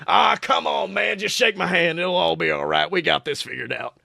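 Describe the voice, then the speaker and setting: A man voicing a pushy undead trickster who wheedles like a folksy, fast-talking salesman in a podcast story. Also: high voice, creaky, sharp tone, no room sound, studio quality, voice pushed up, full character voice. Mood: impatient coaxing. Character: sleazy decrepit undead trickster ghoul, pushy pitchman.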